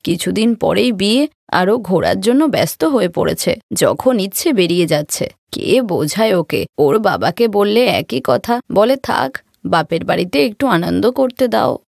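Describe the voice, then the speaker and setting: A woman voicing a worried, complaining middle-aged mother in an animated moral story. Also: medium pitch, normal speed, vocal fry, no echo, clean studio sound. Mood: fretful, complaining. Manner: fretful, complaining.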